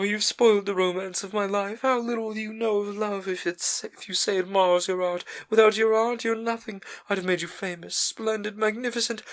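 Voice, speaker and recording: A vain young gentleman from an old novel who lashes out with cutting scorn, as a male reader voices him. His voice rises higher than the reader's own and turns cold and disdainful. It is heard in a storybook reading. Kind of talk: audiobook character voice.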